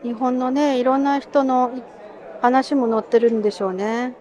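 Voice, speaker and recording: A woman's voice: sits high, fairly even intonation, moderate pace, a little mellow, a faint crowd. Chatty woman filming a bonsai show. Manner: chatty, talks to viewers while filming. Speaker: vlogger touring a bonsai expo. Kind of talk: casual walking commentary. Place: bonsai pavilion and garden tents, partly outdoors. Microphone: handheld camera, speaker off screen.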